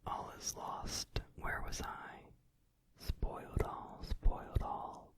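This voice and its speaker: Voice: slow pace, natural voice. A performer whispering the part of a skeleton man who laments and reproaches himself. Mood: despairing. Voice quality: whispered, unvoiced. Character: despairing skeleton man, remorseful king. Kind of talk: whispered song cover, ASMR.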